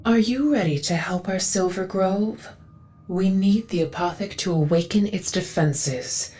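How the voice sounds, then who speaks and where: An actress playing a reverent, solemn woman devoted to a sacred forest, speaking in a measured, devout way in a video game. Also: medium pitch, warm tone, soft background music, close to an everyday voice. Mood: solemn, earnest. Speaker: quest-giver. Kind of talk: dramatic video game voice acting.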